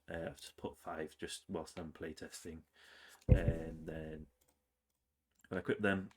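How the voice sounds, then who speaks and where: Low-voiced man with a cold. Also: slight background noise. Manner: relaxed, rambling with many ums and uhs. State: has a cold, sniffly. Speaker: game developer. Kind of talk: casual devlog narration over gameplay.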